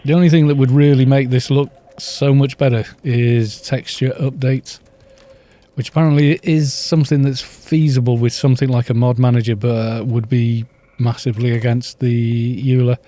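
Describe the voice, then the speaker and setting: A man chatting casually on a game livestream, soft-spoken and rambling, with little chuckles, into a studio microphone at home. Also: mid-range voice, steady tone, moderate pace. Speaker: livestream gamer. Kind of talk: casual chat while gaming, reading chat.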